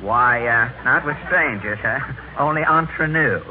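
A man's voice: medium pitch, slow pace. A man acting as interviewer and straight man in a 1940s radio comedy. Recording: noisy narrow-band old radio recording.

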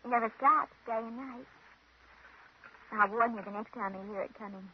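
Actress as a high-voiced, smitten young woman, old radio. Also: moderate pace, lightly acted. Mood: friendly. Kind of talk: 1950s radio drama acting. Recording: muffled, noisy old radio recording.

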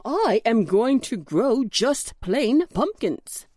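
Lady doing a stubborn plain-talking pumpkin vine from a kids story, slow and firm, highish. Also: sing-song, a little mellow, no room sound, studio quality, full character voice. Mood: defiant. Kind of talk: children's storybook character voice.

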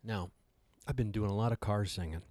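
Guy talking casually, mellow and a bit raspy, rambles with self-deprecating asides, dry close sound. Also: lowish voice, a little fast, dry recording. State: slightly raspy from singing. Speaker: host giving a talk on meditation. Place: hotel room.

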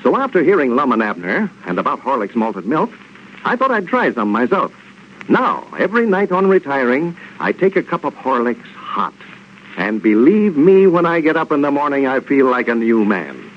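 A man's voice: medium pitch, sing-song, normal speed. A man with an American accent announcing a 1930s radio show, reading sponsor copy and scripted narration. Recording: muffled 1930s radio with faint noise.

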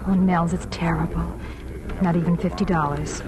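A woman acting a warm-hearted young schoolteacher in a 1940s radio drama. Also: lowish voice, fairly even intonation, moderate pace, crowd noise, lightly acted. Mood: moved, excited. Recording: noisy 1940s radio broadcast recording.

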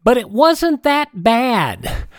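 A man hosting a classic radio program, presenting informally in a halting, measured manner. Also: very high voice, big pitch swings, clean tone, dry recording.

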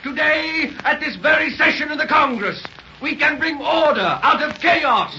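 Shouting, high-pitched bombastic congressman. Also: normal speed, exaggerated voice. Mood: fervent oratory. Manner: declamatory, oratorical. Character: bombastic 19th-century congressman making a speech. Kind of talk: 1930s radio acting. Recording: muffled, hissy 1930s radio recording.

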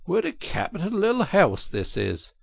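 Man doing a bustling, brisk rat, cheery and capable, slow and lilting. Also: mid-range voice, big pitch swings, creaky, dry recording. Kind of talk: storybook reading.

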